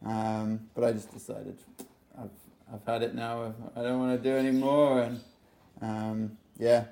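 A young English man from England, a podcast guest telling relaxed stories about his life with lots of fillers. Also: medium pitch, unhurried, vocal fry. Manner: relaxed storytelling with frequent fillers. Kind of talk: casual interview.

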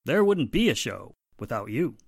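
A man's voice: mid-range voice, very animated, normal speed, creaky, slightly rough, dry recording, clean studio sound, heavily acted. A man playing a touchy, self-important police inspector, speaking to radio listeners in character. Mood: indignant.

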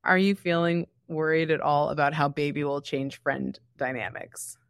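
A woman's voice: deep voice, steady tone, normal speed, smooth, dry recording, clean studio sound. A young woman co-hosting a podcast in informal, unscripted conversation with a friend. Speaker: podcast co-host. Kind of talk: casual unscripted chat with a friend.

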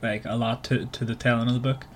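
A man from Northern Ireland with a Northern Irish accent hosts a casual book discussion, talking in a halting way and often repeating words. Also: medium pitch, flat delivery. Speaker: podcast host.

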